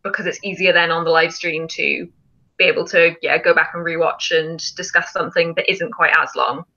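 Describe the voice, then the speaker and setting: A woman's voice: lowish voice, steady tone, brisk, sharp tone, dull audio. A woman hosting a casual live stream, chatting and explaining things in an informal way. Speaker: film challenge live stream host.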